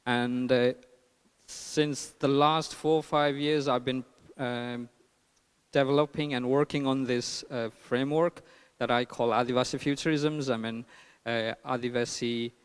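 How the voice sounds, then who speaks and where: A man from eastern Nepal with a Nepali accent gives an informal conference talk as an artist, haltingly searching for words. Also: medium pitch, fairly even intonation, clean tone. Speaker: artist and filmmaker presenting his work. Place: large hall at a public talk. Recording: reverberant hall.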